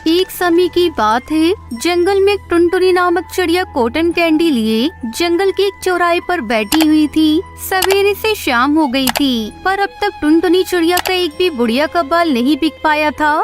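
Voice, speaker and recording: A woman's voice: very high voice, expressive, measured pace, few pauses, soft background music. A woman narrating a scripted children's animal story.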